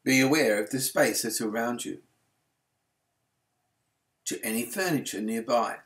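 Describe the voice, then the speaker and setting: Male yoga nidra instructor, mid-range pitch, soothing and unhurried, gentle guided-meditation delivery.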